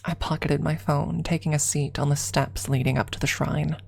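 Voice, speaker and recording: Really deep voiced woman doing asmr storytelling, lots of fry, slow dramatic pauses, kinda flat pitch. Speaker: streamer reading a story aloud. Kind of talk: ASMR narration of a first-person story.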